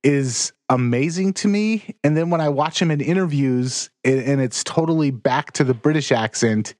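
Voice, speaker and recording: A man's voice: fairly high pitch, normal speed, darkish tone, no echo, studio quality. An American man hosting a podcast, asking questions in a conversational way with frequent fillers. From United States. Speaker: podcast host. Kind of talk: casual interview questions.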